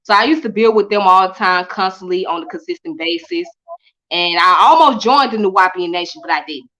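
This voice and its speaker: A woman raised in Atlanta, a guest telling her story in a relaxed, repetitive, colloquial way. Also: mid-range voice, brisk. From Midwest-born, raised in Atlanta. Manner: repeats herself, relaxed, colloquial. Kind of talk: casual interview storytelling.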